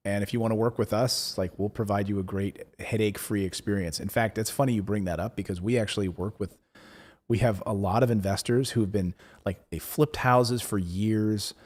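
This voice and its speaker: A man hosting a live investing show, chatting casually, rambling with lots of fillers and restarts, his voice going hoarse. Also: on the low side, steady tone, a little fast, darkish tone. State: losing his voice. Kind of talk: casual live-stream chat, reading viewer comments.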